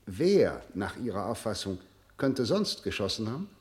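Low-voiced, coldly formal prosecutor. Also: male voice, big pitch swings, moderate pace, a little mellow. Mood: impatient, controlled. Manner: formal, clipped, condescending. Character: stern, formal male state prosecutor, controlled and cold. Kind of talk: 1960 radio drama acting.

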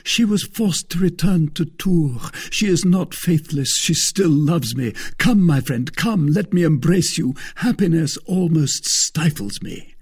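A man voicing a melodramatic, fervent young gentleman in a storybook, declaiming his lines theatrically. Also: on the high side, lively intonation, a little slow, breathy edge, voice pushed up, exaggerated voice. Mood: overjoyed. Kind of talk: acted dialogue in a storybook reading.

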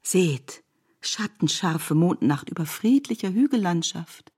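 A grave, knowing female storyteller, guides listeners into myth. The voice sits a little low with a distinctly husky grain, and the phrasing is deliberate and declamatory. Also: expressive, darkish tone, exaggerated voice. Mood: urging. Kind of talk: literary radio drama narration.

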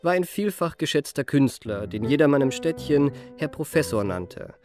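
A man's voice: on the high side, animated, moderate pace, over music, close to an everyday voice. An actor playing a genteel, self-admiring young charmer, narrating his youth in polished, mannered literary style for a radio play. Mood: genteel, self-assured.

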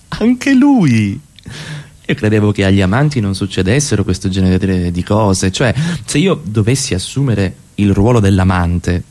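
A man's voice: lowish voice, darkish tone. A man acting in radio theatre as a blunt, teasing middle-class husband, with an emphatic, insistent delivery.

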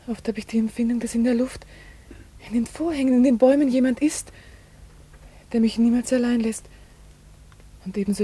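Devoted young female secretary, radio drama: very breathy, mellow, hushed and reverent. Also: a little high. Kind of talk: German radio drama acting, literary and intimate.

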